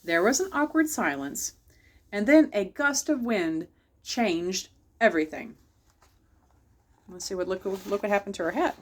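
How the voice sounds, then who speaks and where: A woman's voice: medium pitch, lively intonation, unhurried, pauses often. A woman hosting a children's storytime, reading a picture book aloud and chatting directly to young listeners. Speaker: library storytime host.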